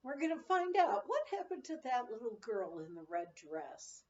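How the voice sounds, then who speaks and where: A woman reading a memoir aloud as an expressive storyteller, with lively emphasis. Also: fairly high pitch, normal speed, muffled recording. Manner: acts out dialogue with lively emphasis. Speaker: audiobook reader.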